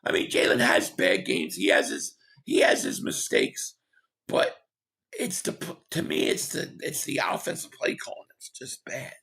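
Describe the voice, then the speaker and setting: A man hosting a sports talk livestream, hoarse and losing his voice, reading chat comments and giving rambling, opinionated takes. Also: low voice, wildly swooping pitch, measured pace, sharp tone. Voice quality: hoarse, gravelly. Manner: rambling, opinionated, repeats himself.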